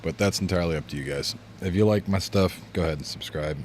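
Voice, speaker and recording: A man's voice: low voice, fast talker, darkish tone, a little hiss or hum. A man streaming games and chatting casually with viewers, laid-back and rambling, his chest congested from a recent illness. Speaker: game streamer. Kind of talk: casual livestream chat. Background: fan blowing near the mic.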